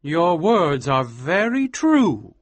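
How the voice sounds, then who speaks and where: A man voicing an intense, proud male scientist who talks to his wife slowly and with emphasis, in a dry recording. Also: fairly high pitch, very animated, no room sound, voice pushed up. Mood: grave.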